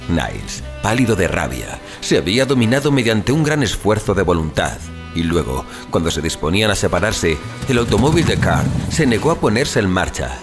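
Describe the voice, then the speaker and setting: A male narrator tells a scripted dramatic story in a deep voice. His intonation is animated and varied. Music plays clearly underneath. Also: normal speed.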